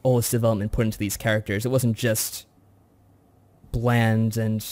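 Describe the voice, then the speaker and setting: A man co-hosting a casual review chat, talking in a measured, mild-mannered way. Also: on the low side, little pitch movement, a little mellow. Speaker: podcast co-host.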